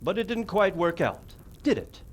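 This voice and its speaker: A man's voice: sits high, sing-song, moderate pace, a little hiss or hum. An actor playing a skeptical male knight who questions the plan in a game cutscene. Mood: doubtful. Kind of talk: video game cutscene acting.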